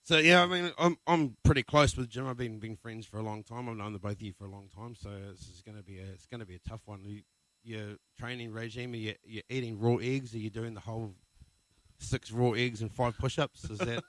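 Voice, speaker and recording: A man's voice: medium pitch, a little fast, a bit bright, dry recording, poor audio. A man hosting a casual podcast interview, asking teasing, joking questions. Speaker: host.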